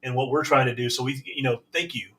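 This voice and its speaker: A man from the band, hosting a live chat and talking quietly and quickly as he gives his thanks. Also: mid-range voice, steady tone. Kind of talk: casual chat. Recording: distant mic with music behind.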